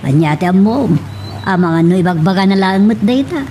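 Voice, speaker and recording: A woman's voice: medium pitch, animated, a little fast, noisy background, lightly acted. A woman with an Ilocano accent playing a haughty, scheming wealthy madam in an audio drama. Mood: displeased.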